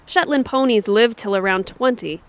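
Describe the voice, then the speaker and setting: A practical young daughter in an audio comedy, acted by a woman. Her voice sits a little high. She is caring and matter-of-fact. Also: normal speed, natural voice. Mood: sympathetic, practical. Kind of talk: audio theatre comedy acting.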